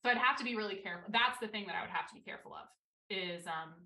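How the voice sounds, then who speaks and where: A woman from Maryland, a writer and teacher, chatting as a guest with a low voice, some vocal fry and many fillers. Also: rapid-fire, pushed and bright. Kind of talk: casual interview chat. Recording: roomy, somewhat far from mic.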